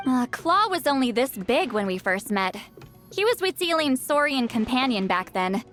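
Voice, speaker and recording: A woman's voice: high-pitched, big pitch swings, normal speed, a bit bright, faint music behind. A woman voicing a blunt, grumbling, centuries-old shaman in a scripted video game. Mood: reminiscing, dry. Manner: brusque, grumbling, casual. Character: centuries-old female shaman, youthful-sounding, blunt and testy.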